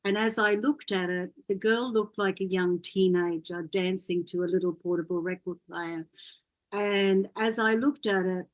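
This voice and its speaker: An older woman from Wales, a guest in an interview, telling her story in a gentle, reflective way. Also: medium pitch, fairly even intonation, moderate pace. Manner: gentle, reflective, laughs often.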